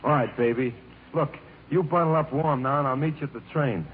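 Man acting bashful, halting young American on old radio. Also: medium pitch, lightly acted. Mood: tender, eager. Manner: halting, stammering. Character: earnest, bashful young man phoning his sweetheart. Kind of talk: mid-century American radio drama acting. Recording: noisy, narrow-band vintage radio recording.

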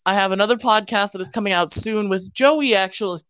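A woman's voice: mid-range voice, brisk, a bit bright, dry recording. Playful woman hosting a podcast. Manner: playful, rambling plugs. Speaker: podcast host. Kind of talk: casual podcast outro chat.

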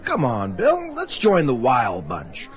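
An actor playing a jaunty, hip party-going friend in a radio drama, with slangy, coaxing talk. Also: male voice, medium pitch, huge pitch swings, takes it slowly, faint music behind. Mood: playful.

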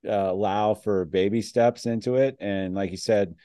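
Mellow low-voiced podcast host. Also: male voice, steady tone, moderate pace, no room sound, studio quality. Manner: conversational, frequent "you know" and fillers. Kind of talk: casual podcast interview.

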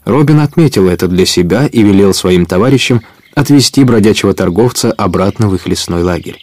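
A man's voice: fairly low pitch, animated, brisk, a little mellow, no echo. A man narrating an adventure audio drama in a scripted storytelling style.

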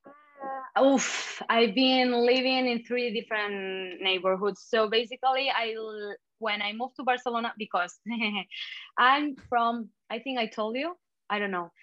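A woman's voice: fairly high pitch, measured pace, fairly bright tone, dry recording. A woman in her twenties speaks with a Spanish accent. She is chatting casually about everyday things. The sound is very dry and slightly dull, as if lo-fi. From Spain (Catalonia and Andalusia). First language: Spanish and Catalan. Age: 20s. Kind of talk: casual conversation.

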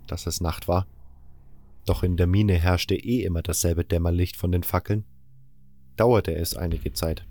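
Low-voiced male audiobook narrator. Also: a little mellow. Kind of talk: scripted first-person fantasy narration.

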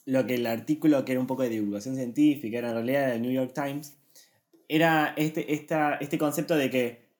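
Argentine man, mid-pitched voice, casual explainer, meandering podcast co-host. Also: a little fast, darkish tone. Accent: Argentine Spanish. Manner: explanatory, rambling with restarts. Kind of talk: casual chat.

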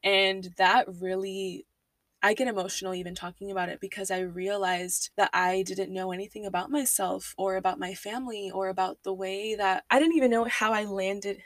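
A woman's voice: medium pitch, little pitch movement, moderate pace, no echo, far from the mic. Twenties woman from Texas, podcast host, candid personal monologue, a little off-mic, dry room. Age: 20s. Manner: candid, emotional, fillers and restarts.

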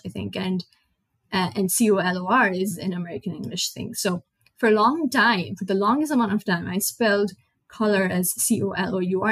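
A woman's voice: mid-range voice, slightly rough, no echo. A young woman from Nepal with a Nepali accent, a native Nepali speaker hosting a casual solo podcast in English, rambling and correcting herself as she goes. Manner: rambling, self-correcting, chatty. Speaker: podcast host.

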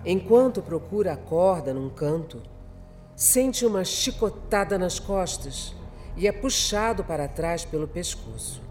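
A man narrating a scripted radio drama in a deliberate, dramatic way. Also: high-pitched, expressive, background noise.

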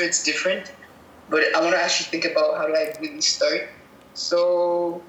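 A woman's voice: fairly low pitch, a bit bright. A woman from Cameroon, a guest videographer, answering interview questions casually, thinking aloud and correcting herself as she goes. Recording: echoey room with faint noise.

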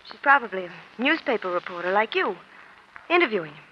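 A woman's voice: medium pitch, big pitch swings, quick pace, slightly rough. A woman playing a tense, guarded, jealous witness held in jail, in strained 1940s radio melodrama style. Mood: guarded jealousy. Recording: dull, lo-fi old radio recording.

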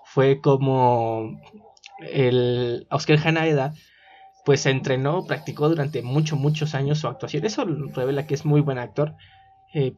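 A man's voice: mid-range voice, a little mellow. A man with a Mexican accent, co-hosting a casual chat about a TV series. He laughs often and explains at length with fillers. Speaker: podcast co-host. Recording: dull lo-fi recording.

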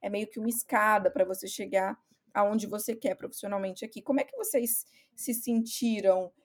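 A woman's voice: mid-range voice, steady tone, moderate pace. A Brazilian woman with a Brazilian Portuguese accent, hosting a casual chat and steering the questions. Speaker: host.

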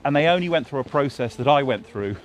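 A man talking things through slowly and thoughtfully, stumbling over his words and circling back to restart his sentences. His pitch is ordinary for a man. Faint traffic and street noise sit behind him. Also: animated. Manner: thoughtful, hesitant, many restarts and fillers. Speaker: television drama writer. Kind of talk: casual conversation. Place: outdoors by a road.

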